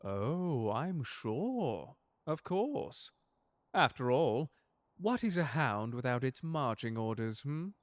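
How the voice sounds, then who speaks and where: A man acts out a gentleman butler for an audio drama. He is formal and precise in his wording, unflappable and courteous, with menace sitting quietly underneath. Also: medium pitch, big pitch swings, slow pace, no echo, studio quality. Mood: smooth menace. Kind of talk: character audio roleplay drama.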